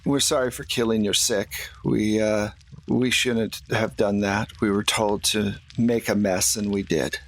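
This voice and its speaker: A man voicing a pragmatic, apologetic troll who speaks haltingly and plainly in a tabletop game. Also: on the low side, steady tone, pitched below the performer's own voice. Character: pragmatic, apologetic male troll protecting his kind.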